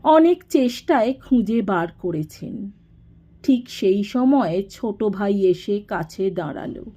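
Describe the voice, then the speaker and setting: A woman narrating a literary audio story in a measured, dramatic way, giving expression to the scripted prose. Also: medium pitch, expressive, dark tone.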